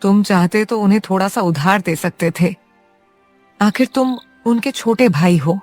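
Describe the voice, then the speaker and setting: Woman playing a chiding village wife, medium-pitched. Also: a little slow, darkish tone, lightly acted. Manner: chiding, direct. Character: concerned, fair-minded village wife questioning her husband. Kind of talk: Hindi cartoon story acting. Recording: music behind the voice. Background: music.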